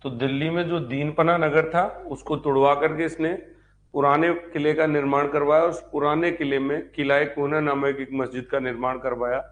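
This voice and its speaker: A man's voice: fairly high pitch, level tone, moderate pace, dull audio. A man giving a live online history lecture as a teacher, dictating notes, repeating points and posing check questions to students. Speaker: history teacher for exam preparation.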